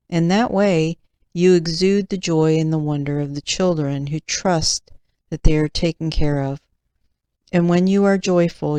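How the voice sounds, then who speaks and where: Woman, tarot reader, low pitch, slightly nasal, deliberate pauses, close dry sound. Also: darkish tone, clear, resonant tone, no room sound. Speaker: tarot reader and channel host. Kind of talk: slow, deliberate tarot reading, part read aloud from cards.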